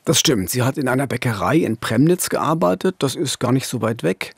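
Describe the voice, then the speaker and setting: A man's voice: mid-range voice, animated, a little fast, creaky, breathy edge, no room sound. A man in his fifties narrating a crime case as a reporter in scripted dialogue at a studio microphone. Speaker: true-crime reporter and podcast co-host. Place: small dark studio. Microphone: studio boom microphone, headphones.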